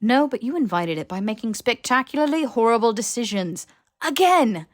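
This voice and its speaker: A woman's voice: fairly high pitch, big pitch swings, normal speed, pitched above the performer's own voice. A woman playing a blunt, exasperated young male wizard in a fantasy audiobook, with sarcastic, emphatic delivery. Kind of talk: character voice in fan fiction audiobook.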